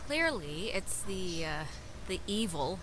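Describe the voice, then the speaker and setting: A young actress with an American accent playing a sarcastic, quick-witted teenage girl in a game, dry and sardonic. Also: on the low side, big pitch swings, noisy background. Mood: dry joking. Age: young adult.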